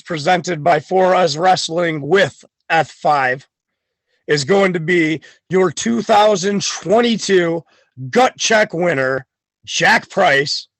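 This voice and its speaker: Give me a swaggering man hyping wrestling matches, talking slow and cocky. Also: sits high, a bit bright, no echo, poor audio. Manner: boastful, hyped, swaggering. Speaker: wrestler guest. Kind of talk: podcast chat.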